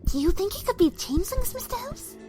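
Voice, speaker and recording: A woman voicing a timid, gentle young pony with a soft, halting way of talking in an audio drama. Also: very high voice, big pitch swings, music in the background. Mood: shy, hesitant.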